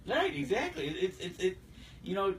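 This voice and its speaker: A man's voice: high voice, wildly swooping pitch, fairly quick, fairly bright tone. Agreeable man, slightly off-mic. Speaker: podcast co-host. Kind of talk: casual hunting talk show chat. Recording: distant mic, noticeable background noise.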